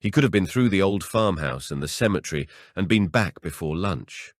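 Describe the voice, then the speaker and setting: A man's voice: low voice, lively intonation, moderate pace, no room sound, clean studio sound. A man narrating a horror audiobook as a scripted story reading.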